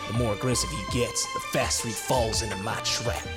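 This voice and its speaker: A man voicing a smug, taunting mercenary card duelist with sneering, drawn-out delivery in a manga fan dub, with music behind. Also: lowish voice, fairly even intonation, voice pushed down, close to an everyday voice. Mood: smug, confident. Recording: music under the voice.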